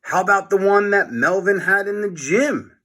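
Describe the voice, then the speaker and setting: Man playing a worried schoolboy sidekick, bright voice. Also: sits high, normal speed, pitched above the performer's own voice. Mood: wondering.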